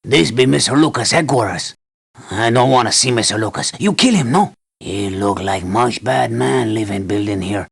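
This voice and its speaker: A man playing an earnest, wary island native guide in a video game, speaking gravelly, simple broken English. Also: mid-range voice, normal speed. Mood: earnest warning.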